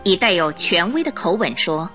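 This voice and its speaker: A woman's voice: fairly low pitch, sing-song, moderate pace, a bit bright, faint music behind. A woman narrating a radio drama from a script in a dramatic storytelling style.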